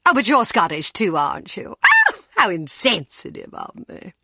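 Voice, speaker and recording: An actress playing a haughty, coolly cruel aristocratic villainess with a condescending, theatrical drawl in a video game. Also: female voice, mid-range voice, wildly swooping pitch, moderate pace, bright, forceful tone, slightly rough, dry recording, studio quality, exaggerated voice. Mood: mocking.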